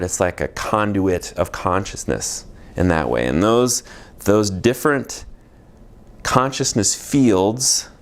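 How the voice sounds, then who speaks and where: A man in his forties with a very deep voice talks unscripted about spiritual growth. He meanders and restarts his thoughts, filling gaps with ums and "you know". He sounds like a healer explaining his work. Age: 40s. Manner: reflective and meandering, with many fillers and restarts. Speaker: energy healer. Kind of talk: unscripted spiritual teaching monologue.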